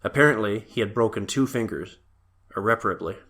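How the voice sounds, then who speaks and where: A man's voice: on the low side, a little fast, a bit bright, slight background noise. A man reading scripted story narration for an audio drama.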